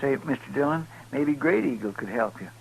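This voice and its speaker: A man's voice: mid-range voice, animated, a little fast, gravelly. An actor playing a folksy, deferential lawman's helper with a western in a radio drama. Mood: eager, respectful. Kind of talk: 1950s radio western acting. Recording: noisy 1950s radio broadcast recording.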